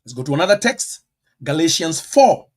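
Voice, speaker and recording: A man with a Kenyan accent preaching a teaching sermon, stressing words and firing rhetorical questions, with sudden loud bursts and quiet asides. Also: fairly high pitch, sing-song, normal speed. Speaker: pastor.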